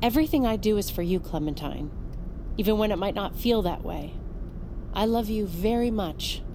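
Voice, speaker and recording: A woman's voice: medium pitch, animated, normal speed, natural voice. A woman playing a caring middle-aged mother in an audio drama, speaking earnestly and reassuringly. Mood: tender, concerned. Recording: noisy car ambience behind.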